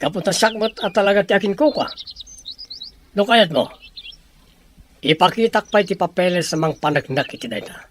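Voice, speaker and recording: A man performs a greedy, bullying Filipino uncle in an audio drama. His voice is high for a man, barking orders and brushing others off. There is faint noise behind him. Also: expressive, moderate pace, pauses often. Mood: dismissive, stern. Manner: gruff, dismissive, commanding. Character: greedy, domineering villainous uncle grabbing a ranch. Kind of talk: Ilocano radio-style audio drama acting.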